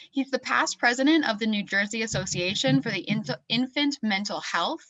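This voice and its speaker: Bright-voiced woman introducing a speaker. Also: on the high side, animated, measured pace, dry recording, dull audio. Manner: reads a speaker bio with small slips and fillers. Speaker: event organizer and moderator. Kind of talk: introducing a speaker at an online forum.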